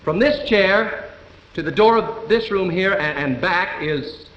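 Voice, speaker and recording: An actor playing a calm, persistent juror in 1950s live television drama, reasoning step by step with small hesitations. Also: male voice, high voice, slow. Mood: methodical, determined. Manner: hesitant, methodical, explaining step by step. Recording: muffled, noisy, echoey 1950s TV broadcast.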